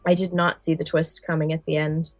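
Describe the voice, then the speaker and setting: A woman's voice: low-pitched, level tone, a little fast, no echo, faint music behind. A woman co-hosting a podcast in casual, enthusiastic chat, gushing and informal in her wording. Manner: informal, chatty, uses "like" often. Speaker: podcast co-host. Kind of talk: casual chat about films and scary stories.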